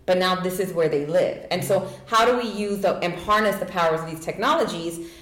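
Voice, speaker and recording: A woman's voice: fairly low pitch, fairly quick, fairly bright tone, echoey room. A woman speaking confidently in a casual conversation, explaining her work as a guest.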